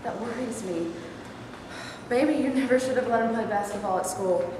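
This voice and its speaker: Woman as fretful middle-aged mother, high voice. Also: moderate pace, lightly acted. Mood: anxious. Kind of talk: staged reading of a play. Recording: very echoey hall, noisy.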